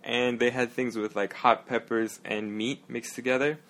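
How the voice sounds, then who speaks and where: A man chatting casually, mid-pitched and easygoing. He spins his stories in a loose, talkative way, often breaking into laughter. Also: little pitch movement, moderate pace, fairly bright tone. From United States. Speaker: conversation partner. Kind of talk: casual chat.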